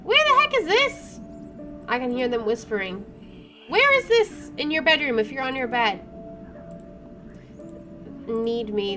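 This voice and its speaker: A woman hosting a let's play, reading game narration aloud and adding casual, expressive commentary. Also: medium pitch. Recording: clearly audible background noise.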